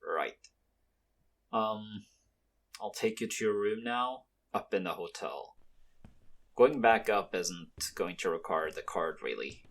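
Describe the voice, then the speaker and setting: A man playing a guarded, weary, quietly kind young mafia henchman in an ASMR roleplay, speaking low-key and hesitantly to the listener. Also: expressive, slow pace, pauses often, exaggerated voice. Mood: matter-of-fact, guiding.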